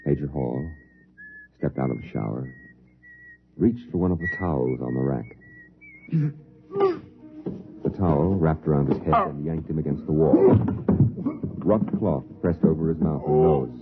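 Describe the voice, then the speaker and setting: A man narrating a scripted 1950s radio drama in a formal, deliberate storytelling manner. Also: extremely low pitch. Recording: tinny, phone-like old radio, music behind.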